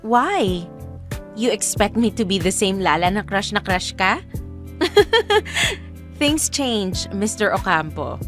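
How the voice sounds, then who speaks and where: Slow, poised young Filipina over music. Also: female voice, medium pitch, sing-song, a bit bright. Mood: cool, teasing. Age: young adult. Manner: deliberate, cool, mixes Tagalog and English. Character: poised, blunt young college woman rejecting a suitor. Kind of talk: radio drama dialogue in Taglish. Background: background music.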